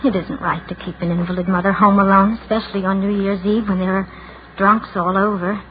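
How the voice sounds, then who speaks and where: A narrow, noisy vintage radio recording carries an actress as a shy, lonely woman of about thirty. She is brittle and anxious, quick to judge, acting in a forties radio-drama manner. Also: medium pitch, little pitch movement, natural voice. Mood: bitter, fretful. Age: 30s.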